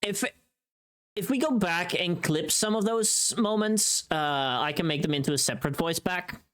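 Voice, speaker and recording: A woman hosting a casual livestream, chatting with viewers in a playful, teasing, sweary and expressive way. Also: fairly low pitch, moderate pace. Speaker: livestream host.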